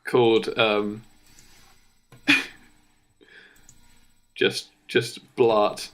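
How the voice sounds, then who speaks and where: A man co-hosting a podcast, improvising a comic story in a casual, halting way. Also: fairly low pitch, little pitch movement, a bit bright. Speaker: podcast co-host.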